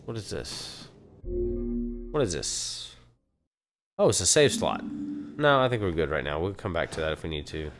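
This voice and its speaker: A hoarse man with a Texan accent, chatting nervously and muttering as he plays a horror game, swearing at the scares. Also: low voice, sing-song, measured pace, pauses often, over music. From Texas. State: hoarse from allergies. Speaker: let's play gamer.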